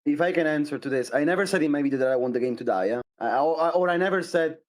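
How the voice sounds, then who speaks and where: A young man with an Italian accent defends himself in a heated online debate, speaking English as a second language. His voice sits a bit high, and he stumbles through fillers and restarts as he pushes his point. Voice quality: halting, many restarts and fillers. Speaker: content creator debating.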